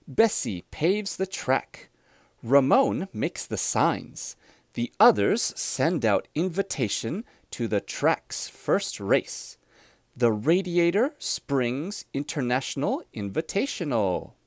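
A man reads a children's storybook aloud with deliberate, expressive phrasing. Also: medium pitch, no echo, clean studio sound. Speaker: storybook reader.

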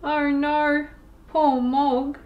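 Casting: female storytime reader, 30s, highish voice, extremely unhurried delivery, expressive with young listeners. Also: highs cut off. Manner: expressive, deliberate, with sound effects and asides to listeners. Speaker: children's storytime host. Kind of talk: picture-book read-aloud for young children.

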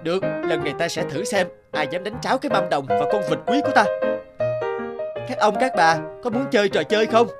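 A man's voice: sits high, animated, fairly quick, loud music behind the voice. A man voicing a burly, gullible young woodcutter with a boastful, hot-tempered streak in a cartoon. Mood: determined. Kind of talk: cartoon voice acting.

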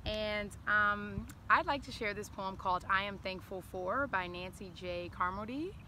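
A middle-aged woman speaks at an average pitch in an informal address, part talk and part reading. She is outdoors at close range to the camera, with clear noise behind her. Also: measured pace, sharp tone. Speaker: former school counselor, guest. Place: outdoors on an open lawn. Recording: clearly noisy outdoor recording.

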